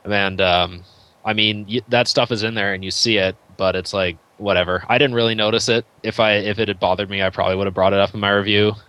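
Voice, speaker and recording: A man's voice: lowish voice, fairly even intonation, fast, pushed and bright, smooth, no room sound, highs cut off. A man in casual conversation as a co-host and reviewer on a video game podcast. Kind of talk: casual chat.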